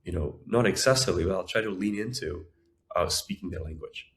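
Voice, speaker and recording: A man who grew up in Ukraine and Israel speaking Russian and Ukrainian, giving measured, conversational explanations as an interview guest. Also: low-pitched. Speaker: podcast guest, entrepreneur.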